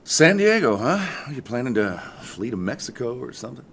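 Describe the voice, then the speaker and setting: An actor playing an affable, folksy married man who teases and gently probes in a naturalistic audio drama. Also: medium pitch, very animated, fairly quick, gravelly, a little hiss or hum. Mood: friendly, probing. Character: affable married man offering a hitchhiker a lift, quietly probing.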